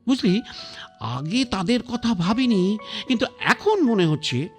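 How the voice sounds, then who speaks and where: Proud sick old man past eighty, slow, gruff, lively pitch; radio play, faint music. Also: heavily acted. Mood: brooding. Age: older. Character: ailing man over eighty, proud and stubborn.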